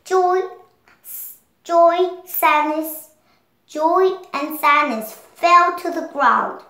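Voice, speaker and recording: A seven-year-old girl reading a storybook aloud in a careful, halting way. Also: very high voice, bright, forceful tone, roomy sound. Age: child, 7 years old. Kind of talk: read-along storybook narration.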